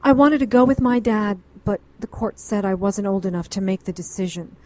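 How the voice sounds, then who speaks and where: A woman's voice: mid-range voice, brisk, warm tone, no echo, close to an everyday voice, rough recording. An actress playing an anxious, educated middle-aged woman client in a noir radio drama, earnest and pleading. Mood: earnest, rambling.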